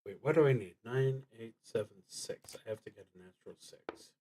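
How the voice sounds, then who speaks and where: A man streaming a solo tabletop game, thinking aloud in a halting, deliberate way and often trailing off. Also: medium pitch, mellow, a little hiss or hum. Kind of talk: thinking aloud while playing a solo card and dice game.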